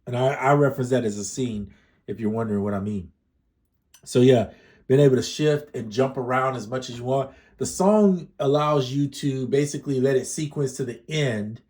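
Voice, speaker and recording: A man with a mellow, relaxed tone talks casually through a music gear demo. He rambles, corrects himself mid-sentence and leans on plenty of fillers. He sounds slightly congested. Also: medium pitch.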